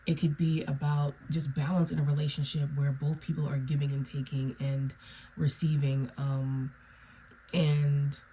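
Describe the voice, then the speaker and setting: A woman giving a tarot reading to her viewers, a bit raspy from being under the weather, meandering and checking in with "okay?" and "right?". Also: low-pitched, dark tone, slight background noise, rough recording. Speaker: tarot reader.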